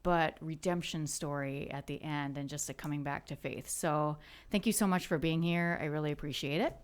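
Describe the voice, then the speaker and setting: A woman's voice: sits low, steady tone, normal speed, clear, resonant tone. A woman hosting a studio interview, chatty and friendly. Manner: friendly, chatty, laughs often. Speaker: host.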